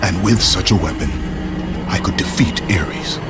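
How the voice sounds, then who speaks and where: A man playing a fierce, brooding Spartan warrior with a gruff, intense, terse delivery in a video game. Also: sits low, measured pace. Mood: grim, intense. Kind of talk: video game cutscene acting. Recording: loud music over the voice.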